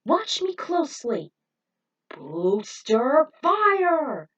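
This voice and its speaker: Woman playing a cocky veteran racing pilot, male mentor, forceful, taunting, barked commands, dramatic storytelling. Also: sits high, wildly swooping pitch, very slow, dull audio, pitched above the performer's own voice, exaggerated voice. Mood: confident, commanding. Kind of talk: amateur dramatic fan-fiction storytelling.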